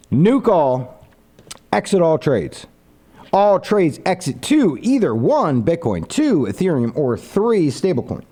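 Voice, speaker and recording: Animated streamer man, lively mellow voice. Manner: animated, rambling pitch of an idea to viewers; reads viewer comments aloud and answers them. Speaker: live streamer. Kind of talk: casual live stream chat.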